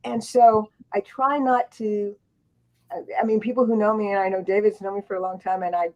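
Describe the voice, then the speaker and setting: A woman's voice: mid-range voice, steady tone, moderate pace. A woman author on a book panel, telling stories in a rambling way, with many restarts and asides. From grew up in Thailand and Japan. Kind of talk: casual panel storytelling. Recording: dull lo-fi sound.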